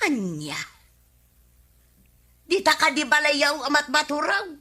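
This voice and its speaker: Aggrieved village wife, Filipino accent, forceful bright voice, plaintive complaining, radio comedy drama. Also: female voice, high voice, very animated, slow, heavily acted. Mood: flustered, plaintive.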